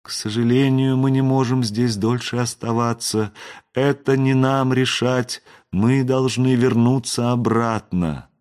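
A man voicing the gentle, regretful ghost of a wizard's parent, with solemn, tender phrasing, in a fantasy audiobook. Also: medium pitch, steady tone, measured pace, voice pushed up, close to an everyday voice.

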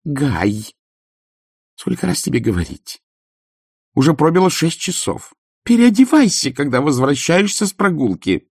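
A man voicing an imperious, scornful aristocratic old baroness who scolds in a haughty, sharp manner. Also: medium pitch, wildly swooping pitch, moderate pace, gravelly, husky, no echo, studio quality, pitched above the performer's own voice, exaggerated voice. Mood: scolding. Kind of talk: audiobook character voice.

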